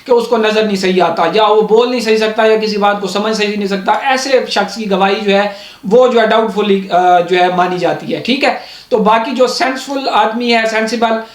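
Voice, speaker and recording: A man's voice: high-pitched, moderate pace. A man with a Pakistani accent explaining law informally, repeating his points and often checking in as he goes. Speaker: legal educator. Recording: echoey, reverberant room.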